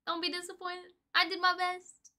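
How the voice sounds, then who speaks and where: A young woman hosting a livestream, chatting casually with viewers in a playful, slangy, expressive way. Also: very high voice, moderate pace. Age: young adult. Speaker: livestream host. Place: indoor room with desk and shelves of collectibles.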